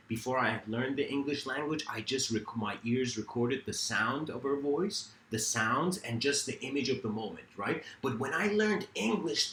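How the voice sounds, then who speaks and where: A man's voice: mid-range voice, fairly bright tone, reverberant, rough recording. A man from Iran whose first language is Farsi, giving a reflective philosophical talk in a rambling, self-correcting way with many fillers. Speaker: speaker.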